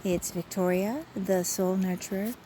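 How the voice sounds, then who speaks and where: Slow, mellow woman guiding a meditation. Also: mid-range voice, low-quality recording. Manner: soothing, measured, with frequent pauses. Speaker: guided imagery host and soul coach. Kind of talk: guided meditation talk. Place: outdoors by a river. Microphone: phone. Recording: faint outdoor ambient noise. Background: faint river ambience.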